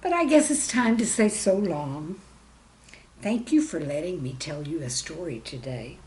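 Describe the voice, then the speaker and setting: A woman's voice: low voice, expressive, unhurried, dark tone. A woman reading a children's story in a simple, friendly way, as if speaking directly to young listeners. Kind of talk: gentle scripted storytelling for children.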